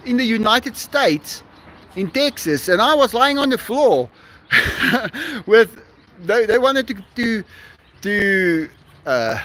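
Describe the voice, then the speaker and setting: A South African man chats casually with his livestream viewers in a high, lively voice. He speaks slowly, laughs often and keeps restarting his sentences. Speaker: livestream host. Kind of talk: casual live-stream chat with viewers.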